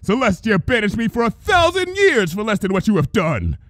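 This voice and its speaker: A man's voice: sits high, wildly swooping pitch, moderate pace, fairly bright tone, no room sound, studio quality, voice pushed up, exaggerated voice. A man voicing a wrathful, wounded female alicorn pony princess in a dramatic story reading, with a grand, accusing delivery. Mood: furious, commanding. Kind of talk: dramatic fan fiction reading.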